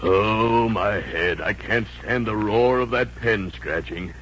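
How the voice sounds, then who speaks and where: Peevish older boss, muffled radio. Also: male voice, on the low side, slow. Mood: peevish, suffering. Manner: complaining, peevish. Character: fussy, ailing, irritable older male business boss. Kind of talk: 1950s radio comedy acting. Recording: dull, hissy old radio broadcast.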